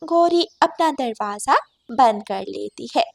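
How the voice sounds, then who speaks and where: A woman's voice: on the high side, lively intonation, slow pace, creaky. A woman narrating a scripted children's cartoon story.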